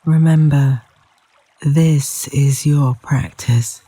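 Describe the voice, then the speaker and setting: A man guides a yoga nidra meditation in a very soft, dark and relaxed voice, set slightly high for a male speaker. He speaks slowly and soothingly, leaving long pauses. The voice is close and dry, with flowing river water behind it. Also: breathy edge, dry recording. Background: flowing river water sounds.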